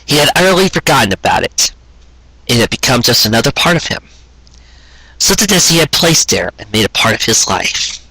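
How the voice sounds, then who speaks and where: A man's voice: mid-range voice, big pitch swings, brisk, bright, forceful tone, husky, no room sound, poor audio. A man reading a sentimental romance story aloud in an earnest, dramatic storytelling manner.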